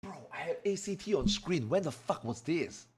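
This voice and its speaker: A man streaming live who chats casually, swears freely and reacts loudly to his viewers, and he says he is losing his voice. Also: fairly high pitch, animated, normal speed, a little hiss or hum.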